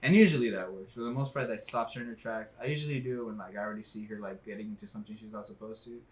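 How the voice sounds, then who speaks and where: A man telling stories about parenting in a relaxed group chat, rambling with lots of fillers. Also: lowish voice, rapid-fire. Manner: filler-heavy, rambling storytelling. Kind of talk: casual chat.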